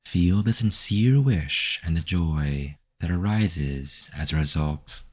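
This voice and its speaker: A man narrating a guided meditation as the guide, in a measured, instructional manner. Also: sits low, lively intonation, darkish tone.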